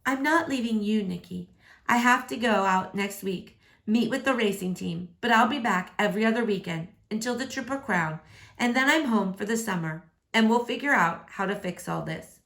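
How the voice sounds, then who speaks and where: A woman's voice: mid-range voice, normal speed, fairly bright tone, lightly acted. A woman reading the part of a caring, playful but firm mother who chides and teases. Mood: reassuring. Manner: chiding, teasing.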